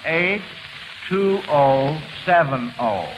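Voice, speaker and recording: A man's voice: on the high side, lively intonation, very slow. An American man hosting an old-time radio quiz show in a genial showman's manner. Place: university auditorium. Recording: hissy, noisy vintage radio broadcast.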